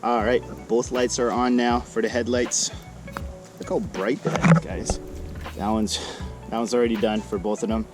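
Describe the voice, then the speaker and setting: A man's voice: mid-range voice, moderate pace, over music. A man hosting a vlog in casual, laid-back, slangy chat with an upbeat manner. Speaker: vlog host.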